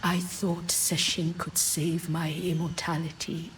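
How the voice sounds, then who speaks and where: An actress playing a weary, motherly immortal mentor in a video game cutscene, grave and tender, at times hushed. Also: fairly low pitch, flat delivery, slow, a little hiss or hum, low-quality recording. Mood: regretful confession.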